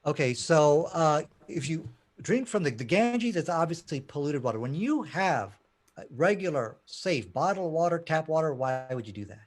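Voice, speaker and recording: A man's voice: a little high, big pitch swings, normal speed. A man from Pakistan speaking as a debater, arguing in an emphatic, challenging way. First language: not Arabic; Arabic learned as second language. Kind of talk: impassioned debate argument.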